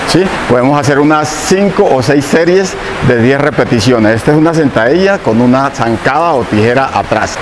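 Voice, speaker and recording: A man in his thirties with a Colombian accent, a fitness trainer explaining a workout in an indoor gym, repeating key points and checking in with his viewers. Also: a little high. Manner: instructive, repeats himself, checks in with "¿sí?". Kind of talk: workout tutorial. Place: indoor gym, large room. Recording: noisy gym room.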